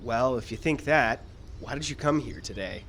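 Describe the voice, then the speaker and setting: A man playing a hesitant but principled screenwriter in a live radio play, speaking in a halting, earnest way. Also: mid-range voice, fairly bright tone, lightly acted. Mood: hesitant, subdued. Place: live radio show in a park. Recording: live stage recording with background noise.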